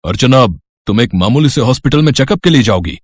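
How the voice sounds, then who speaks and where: A man's voice: medium pitch, animated, fast talker, dry recording, natural voice. A man voicing a haughty, condescending, indignant father in a dramatized moral story. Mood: scornful, indignant. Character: haughty, wealthy, disapproving father of a married daughter.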